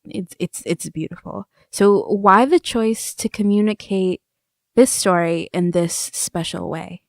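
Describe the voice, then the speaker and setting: A woman hosts a conversational podcast interview with earnest, effusive reactions. Her pitch is medium, and the sound is dry with no room on it. She builds her thoughts out loud, piece by piece. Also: a little slow, no room sound. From immigrant to the US. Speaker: podcast co-host.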